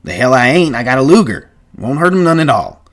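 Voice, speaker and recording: A blunt, impatient ranch hand from an audiobook, acted out by a man. His speech is rough and folksy, pitched up from the narration and pushed louder. He presses his point insistently. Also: very animated, a little fast. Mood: blunt, pressing. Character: blunt, impatient male ranch hand pushing a mercy killing.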